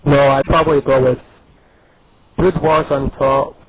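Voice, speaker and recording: A male interviewee speaks slowly and evenly at a medium pitch. He gives casual, considered answers over a slightly noisy internet call. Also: little pitch movement. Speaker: professional gamer being interviewed.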